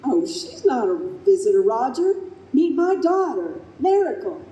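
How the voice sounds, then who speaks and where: An actress playing a warm, exasperated mother in her fifties in an old-time radio style stage play. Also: sits high, very animated, unhurried, muffled recording. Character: warm, exasperated fifty-two-year-old smoker mother and office manager. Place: convention room stage performance. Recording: roomy live hall, faint background noise.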